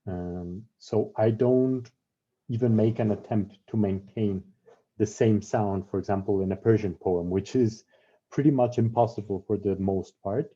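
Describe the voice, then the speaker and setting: A man, a translator speaking on an online panel in a thoughtful, measured way, heard through muffled video call audio. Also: on the low side, warm tone, husky. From Mexico. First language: Spanish.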